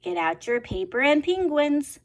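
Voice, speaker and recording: Lady reading as a goofy cheery teacher lady, high sing-song voice, takes it slow. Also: big pitch swings. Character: silly mixed-up woman schoolteacher, cheerful.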